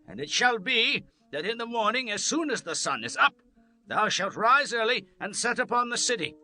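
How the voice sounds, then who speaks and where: A woman's voice: on the high side, animated, normal speed, pushed and bright, no echo. A woman playing a defiant, urgent character in a dramatized scripture reading, with declamatory archaic diction. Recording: faint music behind. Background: music.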